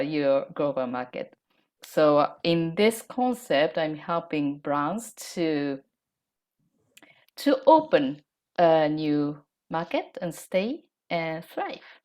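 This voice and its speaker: A Japanese woman whose first language is Japanese, introducing herself on an online panel in careful, halting English with a Japanese accent. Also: low-pitched, a little mellow. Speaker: panelist, business strategist.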